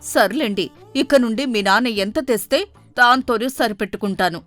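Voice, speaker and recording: A woman voicing a shrewish, quarrelsome wife in an animated tale, scolding and complaining. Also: a little high, normal speed, no room sound, faint music behind, lightly acted. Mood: aggrieved.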